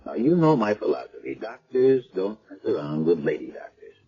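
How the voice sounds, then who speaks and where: An actor playing a middle-aged hospital chief of staff, brisk and condescending, questioning a patient in a businesslike way in a 1970s radio drama. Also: male voice, on the low side, very animated, soft background music, heavily acted. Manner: crisp, businesslike bedside questioning. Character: brisk, condescending male doctor in charge. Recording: dull old radio broadcast.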